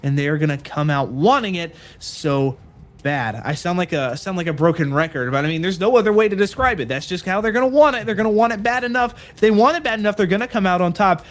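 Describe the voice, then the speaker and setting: A man casting a live esports stream, talking in earnest, repetitive hype with some fillers. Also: a little high. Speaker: esports caster.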